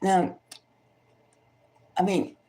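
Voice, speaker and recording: A woman's voice: extremely slow, warm tone, slight background noise. A woman tarot reader chatting casually and thinking aloud, gossipy and opinionated, often rambling and repeating her phrases. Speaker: tarot reader hosting a celebrity psychic reading.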